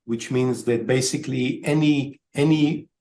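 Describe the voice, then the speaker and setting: A man's voice: mid-range voice, steady tone, unhurried, warm tone. A man in his fifties from Israel, talking as a guest over a video call, telling stories with many ums and restarts. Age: 50s. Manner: storytelling, frequent ums and restarts. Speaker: guest, IT company owner. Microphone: laptop webcam on video call.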